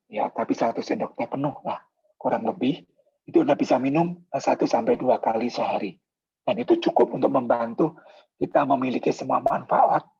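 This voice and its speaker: Hoarse male presenter, gravelly and husky, dull lo-fi video call audio. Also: medium pitch, a little mellow. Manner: polite, explanatory, many filler pauses. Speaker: product presenter. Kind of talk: sales presentation in a video meeting.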